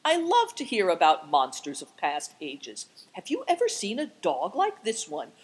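A woman voicing a sly, unruffled man in an audiobook story, gently evasive and teasingly polite. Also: mid-range voice, wildly swooping pitch, unhurried, slightly rough, heavily acted. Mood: cheerfully teasing. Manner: gentle, evasive, mock-inviting. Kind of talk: audiobook character voice.

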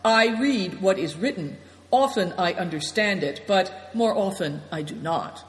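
A woman's voice: fairly low pitch, lively intonation, a little slow. A woman reading as a humble, rueful nomad host. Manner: humble, gently melancholy. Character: modest, courteous middle-aged nomad man host. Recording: echoey room with long reverb.

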